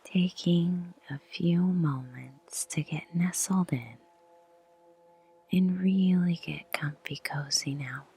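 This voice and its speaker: Whispering, very breathy low-voiced woman. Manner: soft whispered delivery; slow, soothing pacing with long pauses. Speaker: meditation guide. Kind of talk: guided meditation.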